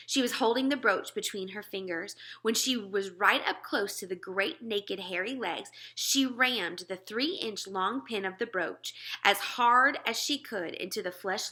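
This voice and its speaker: A woman with a bright, forceful voice of middling pitch reads a children's story aloud. Her narration is expressive and dramatic, leaning deliberately on key words.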